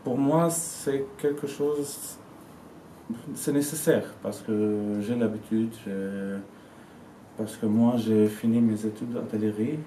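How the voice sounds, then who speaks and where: A man's voice: lowish voice, fairly even intonation, takes it slowly, dark tone. A man in his twenties from Syria, speaking French as an interviewee, searching for words but talking with conviction. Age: 20s. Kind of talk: casual testimony in a learned language. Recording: roomy, distant mic, faint noise.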